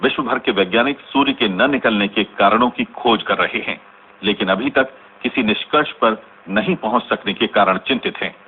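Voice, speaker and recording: Formal male newsreader acted for a radio play: lowish voice, measured and grave, thin noisy radio sound. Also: close to an everyday voice. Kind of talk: scripted Hindi news bulletin in radio drama. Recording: noisy, tinny narrow-band radio recording.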